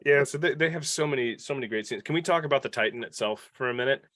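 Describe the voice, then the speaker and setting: A man hosting a casual podcast discussion, enthusiastic and quick to interject. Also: mid-range voice, a bit bright, dry recording, studio quality. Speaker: podcast panel host.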